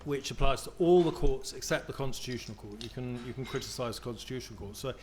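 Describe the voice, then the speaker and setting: A man on a press panel talks about law in quick bursts, often repeating words. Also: medium pitch, a little mellow. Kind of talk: panel discussion.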